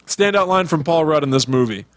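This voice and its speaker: A man's voice: a little high, animated, fairly quick, no echo. Man co-hosting a casual podcast. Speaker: podcast co-host. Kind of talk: casual movie chat with quoted lines.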